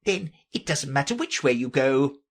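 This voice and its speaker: Lady doing a grinning crazy storybook cat, teasing n smug, voice swoops up high, bit husky. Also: big pitch swings, normal speed, heavily acted. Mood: breezy. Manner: teasing, emphatic, playfully argumentative. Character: grinning mad cat, sly, breezy, teasingly logical. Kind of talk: children's storybook character voice.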